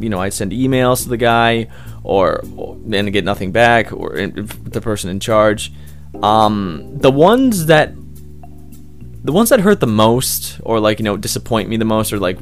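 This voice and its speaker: A young man, a hobby voice actor, answering interview questions in a loose, rambling way, full of fillers and restarts. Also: medium pitch.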